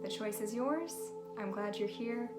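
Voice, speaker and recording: A woman leading a guided meditation, giving gentle, unhurried instructions in a soothing manner. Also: medium pitch. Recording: music behind the voice. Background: music.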